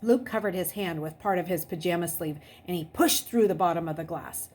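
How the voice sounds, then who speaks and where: A woman hosting a read-aloud, speaking in an expressive, deliberate storytelling way. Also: fairly low pitch. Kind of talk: chatty greeting, then expressive children's novel read-aloud. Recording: noisy background.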